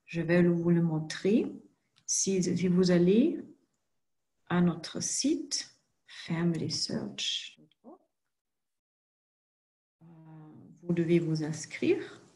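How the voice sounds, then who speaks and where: A woman walks through a screen-share tutorial in a fairly low voice. She speaks in a very slow, careful way and fills her pauses with frequent hesitations. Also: warm tone. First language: not French. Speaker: presenter for a genealogy organization.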